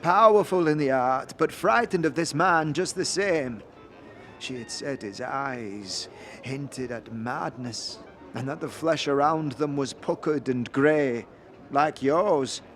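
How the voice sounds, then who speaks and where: An actor playing a grave informant with formal, old-fashioned phrasing in an audio drama. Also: male voice, mid-range voice, slow pace, fairly bright tone, faint music behind. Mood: grave, ominous. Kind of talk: scripted audio drama acting.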